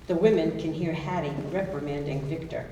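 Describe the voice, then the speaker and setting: Distant in a reverberant hall, a woman narrates stage directions from a script. Her voice is low and mellow, with faint noise around it. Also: fairly quick.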